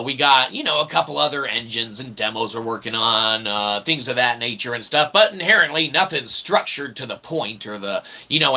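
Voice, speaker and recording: Male channel host, slightly high but gravelly, mock-pompous comic philosopher, wordy and theatrical, noisy amateur recording. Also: sharp tone. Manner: mock-pompous, wordy, repeatedly ending phrases with "okay". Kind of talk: rambling philosophical comedy monologue.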